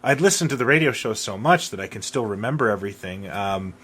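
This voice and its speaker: A man's voice: on the low side, expressive, fast talker, a bit bright, slightly rough. A middle-aged man co-hosting a podcast, reminiscing in casual conversation. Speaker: podcast co-host.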